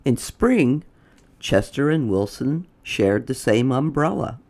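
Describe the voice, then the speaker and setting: A storyteller narrating a children's picture book in a deliberate, expressive way. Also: mid-range voice.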